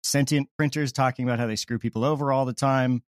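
A man's voice: mid-range voice, fairly even intonation, fast, no echo, clean studio sound. A man talking casually as an interviewed comedy creator, explaining things in a self-deprecating way.

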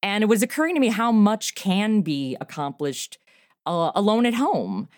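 Chatty, lively woman on a remote call. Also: mid-range voice, normal speed, no room sound, studio quality. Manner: chatty and animated, with laughter and fillers. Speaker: podcast creator and guest. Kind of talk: casual interview chat.

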